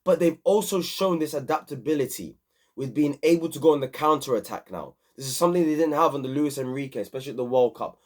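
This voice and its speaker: A young man hosting an excited, slangy football match review, a bit hoarse from screaming. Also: mid-range voice, fast talker. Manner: animated, repetitive, slangy. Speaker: football channel host.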